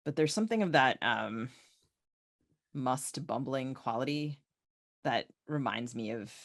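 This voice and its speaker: A woman's voice: a bass voice, lively intonation, measured pace. Woman cohost, chatting about books, tentative, sort of working it out as she talks. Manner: tentative, thinking aloud. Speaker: podcast co-host. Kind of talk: casual literary discussion.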